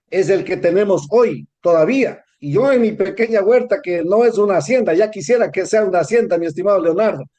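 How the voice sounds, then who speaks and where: A man with an Ecuadorian accent, answering interview questions in a measured, explanatory way with frequent hesitations. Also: high voice, dark tone. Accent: Ecuadorian Spanish. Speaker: interview guest.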